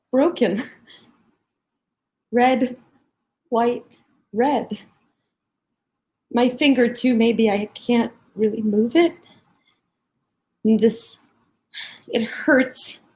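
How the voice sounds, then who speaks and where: A woman acting a dazed, fastidious election observer under arrest, speaking in a halting, bewildered way. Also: fairly high pitch. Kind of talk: dramatic monologue.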